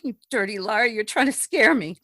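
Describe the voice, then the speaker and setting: A woman playing a brash, suspicious, panicky society woman in a 1940s-style radio drama, sharp-tongued, demanding and melodramatic. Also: fairly high pitch, animated, normal speed, no room sound, clean studio sound. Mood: hysterical, accusing. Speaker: voice actor.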